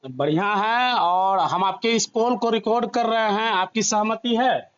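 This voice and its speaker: A male news interviewer with a very high, clean voice. He puts probing questions to his guest, and the recorded call sounds slightly muffled. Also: a little slow, a bit bright, clear, resonant tone. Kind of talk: news interview over the phone.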